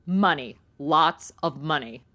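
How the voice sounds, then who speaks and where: A woman's voice: low-pitched, lively intonation, slow pace, sharp tone, heavily acted. A woman with an American accent playing a sassy, slangy modern young woman, sarcastic and brash, in a comedy audio drama. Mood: greedy, brash.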